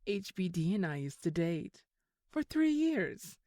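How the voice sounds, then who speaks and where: A woman playing an unflappable reformed criminal ex-girlfriend in an audio drama, measured and dryly sardonic. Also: lowish voice, very animated, warm tone, dry recording, studio quality. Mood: teasing.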